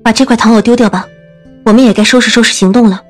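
A woman's voice: a little high, fairly even intonation, quick pace, soft background music, close to an everyday voice. An actress playing a guarded, proud young lady of ancient China in a costume audio drama. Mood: calm, resolved.

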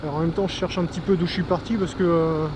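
A man's voice: fairly high pitch, fairly even intonation, fast talker. A man giving enthusiastic, informal vlog commentary, thinking aloud as he rides a motorcycle. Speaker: motovlogger. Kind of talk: casual vlog commentary while riding a motorcycle. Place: outdoors on a moving motorcycle on country roads. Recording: noisy outdoor recording with engine and wind.

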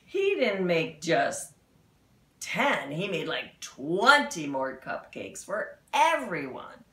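A woman in her fifties tells a children's story with wide swings in pitch and very drawn-out pacing. She is expressive, pausing for drama and adding asides. Her voice has some gravel, and the room echoes around it. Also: a little hiss or hum. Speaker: children's picture-book reader. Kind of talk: animated read-aloud storytelling for young children. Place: small indoor room at home. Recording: roomy home recording, slightly distant mic.

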